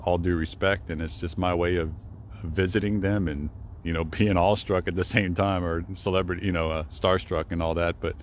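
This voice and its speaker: Low-pitched young man, casual vlog monologue, rambling with fillers, hushed and respectful, outdoors, faint background noise. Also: steady tone, fairly quick. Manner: rambling, with many fillers and self-corrections. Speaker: travel vlogger. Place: outdoors in a cemetery.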